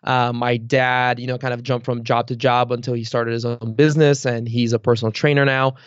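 A man's voice: mid-range voice, little pitch movement, a little fast, clean tone, no room sound, lo-fi sound. A man in his thirties, born in Bulgaria, talking loosely as a podcast guest and leaning on fillers like "like" and "you know.". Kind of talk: casual interview chat.

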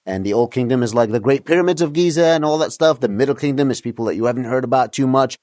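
A man's voice: mid-range voice, fast, darkish tone, no echo, clean studio sound. A man from Egypt, a guest in a casual interview, eagerly explaining history and telling stories from his own life, laughing often. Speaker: guest, documentary producer.

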